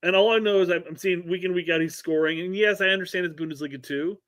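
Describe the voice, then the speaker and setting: A man's voice: sits high, quick pace, fairly bright tone. A man hosting a casual podcast chat, often restarting his sentences and agreeing readily. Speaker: host.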